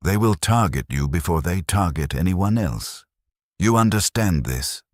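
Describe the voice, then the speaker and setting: A man's voice: low voice, lively intonation, a little slow, no echo, studio quality. A man voicing a war-weary veteran soldier in a story, blunt and fatalistic, speaking in stern, clipped, emphatic sentences. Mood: stern warning.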